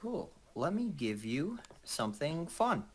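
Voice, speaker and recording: A man's voice: fairly high pitch, very animated, unhurried, husky. A man in a casual group chat, talking informally in a rambling way with mild swearing.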